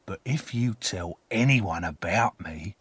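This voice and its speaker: A man voicing a rough, menacing escaped convict in a storybook reading, growling and commanding. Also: low-pitched, animated, voice pushed down, exaggerated voice. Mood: slow, menacing. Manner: forceful, commanding, slow threats.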